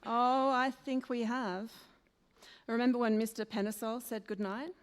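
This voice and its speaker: A woman's voice: fairly high pitch, steady tone, moderate pace, clean tone, close to an everyday voice. Woman acting as eager newspaper gal, reverberant hall. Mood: confiding. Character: eager, affectionate young female newspaper reporter's sidekick. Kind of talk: old-time radio play acting. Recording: echoey hall, long reverb.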